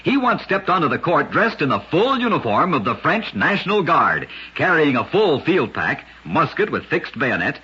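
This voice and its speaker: A man narrating short scripted stories in an old-time radio style, with an emphatic showman delivery and a husky voice. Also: fairly high pitch, big pitch swings, moderate pace, few pauses, bright, forceful tone. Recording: dull, lo-fi old radio recording.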